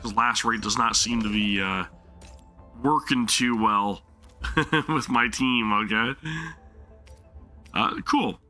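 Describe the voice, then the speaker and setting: A man's voice: mid-range voice, takes it slowly, long pauses, sharp tone, soft background music. A man streaming a game live, chatting casually while his sore throat makes him lose his voice, rambling and apologizing often. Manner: apologetic, rambling, many restarts.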